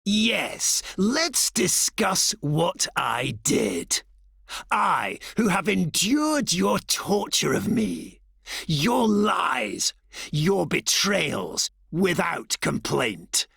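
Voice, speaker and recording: Theatrical male game villain, menacing, obsessive, breathy and husky, creaky, close dry recording. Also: high voice, huge pitch swings, sharp tone, dry recording, heavily acted. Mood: wounded, bitter. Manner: theatrical, menacing, drawn-out phrasing that slips into breathy whispers. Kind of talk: dramatic video game voice acting.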